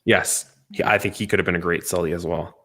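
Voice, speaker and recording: Man, low-pitched voice, casual opinionated co-host, emphatic delivery, repeats himself for stress. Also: fairly even intonation, fast talker, fairly bright tone. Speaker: podcast co-host reviewing movies and games.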